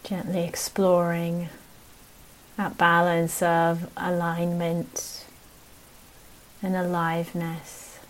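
Very slow, low-voiced woman guiding meditation. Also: monotone, poor audio. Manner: gentle, unhurried, with long pauses between short phrases. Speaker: meditation teacher. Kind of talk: guided meditation.

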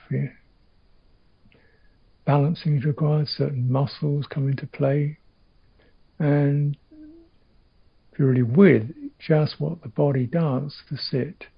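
A man with a husky, creaky voice leads a guided meditation. He speaks as a teacher in halting, thoughtful phrases, leaving long gaps between them. The recording is slightly dull. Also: medium pitch. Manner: halting, reflective, short phrases with long pauses.

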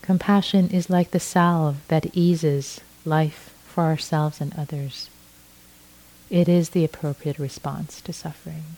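Woman meditation teacher from Iran, native Farsi speaker, low voice, mellow tone, gentle and halting. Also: fairly even intonation, rough recording. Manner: slow, gentle, with frequent restarts. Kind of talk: dharma talk.

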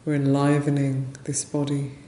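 A woman leading a guided meditation as a teacher, speaking gently and unhurriedly in short phrases with long pauses. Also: a bass voice, monotone. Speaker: meditation teacher, Buddhist nun. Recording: roomy echo, faint background noise.

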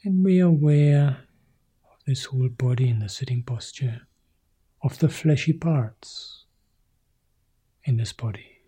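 A male monk guiding a meditation in soft, short phrases that often sink into a whisper. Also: mid-range voice, measured pace, long pauses, husky. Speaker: Buddhist monk leading meditation. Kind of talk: guided meditation.

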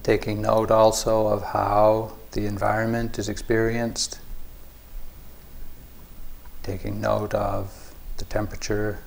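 A male meditation teacher speaks very slowly in a fairly low, even voice with a gravelly creak. He delivers calm, measured instructions in short phrases, leaving long pauses between them.

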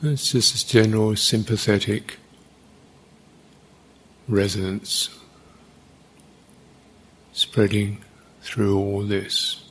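Male meditation teacher, husky and fairly low voice, unscripted guidance with long, halting gaps. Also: level tone, fairly bright tone. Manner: unhurried, halting, with long pauses.

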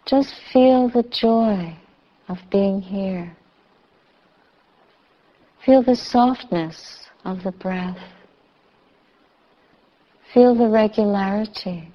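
A woman leads a guided meditation at a very unhurried pace. She speaks in short, soothing phrases with long pauses, at a medium pitch. The recording sounds a little dull. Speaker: meditation teacher. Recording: slightly dull, muffled recording.

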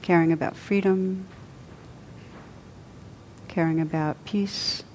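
A woman with a fairly low voice leads a guided meditation. She speaks softly and without hurry, leaving long pauses between her phrases. A faint hiss sits under the recording. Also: steady tone, low-quality recording. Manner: soft, unhurried, with long pauses. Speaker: meditation teacher.

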